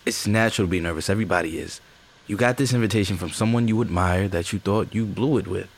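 A man's voice: on the low side, fast. An actor playing a mild, reassuring male partner with a slightly hesitant manner in a naturalistic audio drama. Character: gentle, supportive male partner, bashful aspiring writer.

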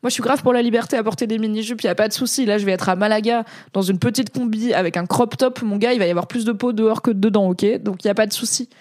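A woman's voice: mid-range voice, steady tone, fast talker, few pauses, darkish tone, no echo, studio quality. A woman from France giving casual, slangy commentary as a streamer reading chat, talking in a rambling way with frequent hesitations.

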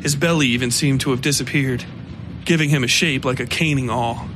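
A man reading dark fiction as a dramatic scripted narration. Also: medium pitch, fairly even intonation, brisk. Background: music.

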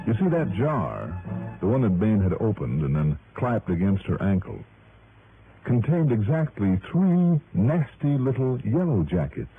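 American detective narrator, lowish lively voice, wry, punchy, old radio drama, tinny sound. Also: male voice. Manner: wry, conversational, punchy storytelling. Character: wry, self-assured male insurance investigator narrating his case.

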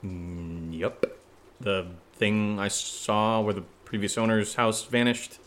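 A man playing a nervous, sarcastic young man in a horror audio drama, speaking in halting, rambling bursts with sardonic asides. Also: fairly low pitch, a bit bright. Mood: flustered, sarcastic.

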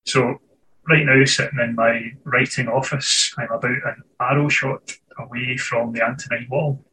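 Scottish male guest, thoughtful and searching, bright tone, distant video-call sound with faint noise. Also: mid-range voice, fairly even intonation, moderate pace. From Scotland. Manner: thoughtful, restarts phrases while searching for words. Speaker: author guest. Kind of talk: conversational interview over video call. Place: home writing office.

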